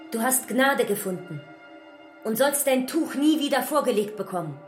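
A woman's voice: on the high side, expressive, moderate pace, reverberant, faint music behind, lightly acted. An actress playing a proud, courteous woman with regal dignity in a radio play, speaking formally and earnestly. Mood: majestic. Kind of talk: German radio play acting.

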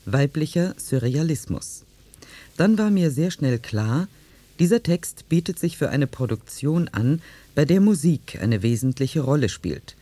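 A man presents a radio play in a measured scripted read. His voice sits at a middle pitch and is soft and mellow in tone. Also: dry recording. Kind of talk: scripted narration.

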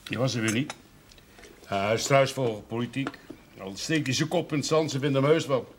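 An actor playing a gruff, impatient, middle-aged country father in a television period drama, blunt and grumbling. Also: mid-range voice, fairly quick, lightly acted. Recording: noisy older TV soundtrack, clinking tableware.

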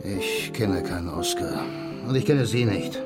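An actor playing a defiant, foul-mouthed thug in a radio crime drama, combative and blustering. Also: male voice, sits low, unhurried, over loud music. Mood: defiant, irritated. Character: belligerent, foul-mouthed male thug, defiant when threatened.

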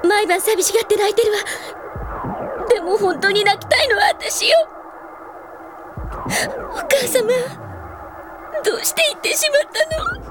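A woman's voice: very high voice, big pitch swings, a little slow, pauses often, background noise, exaggerated voice. An actress in a Japanese anime audio drama playing a grown elder sister who teases her brother and yearns for her lost parents. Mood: grieving, longing. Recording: studio drama with music behind.

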